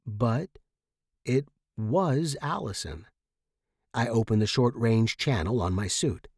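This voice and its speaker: Expressive male audiobook narrator, very dry sound. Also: mid-range voice, slow, husky, no echo. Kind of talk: scripted first-person story narration.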